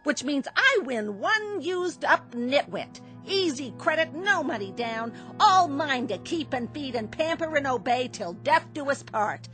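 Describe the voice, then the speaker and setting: Brief: a scornful middle-aged wife, higher voice that swoops and jabs, soft music under. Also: female voice, big pitch swings, unhurried, few pauses, full character voice. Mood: sarcastic. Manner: sarcastic, scornful, biting. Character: bitter sharp-tongued middle-aged wife Phyllis. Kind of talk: radio drama acting.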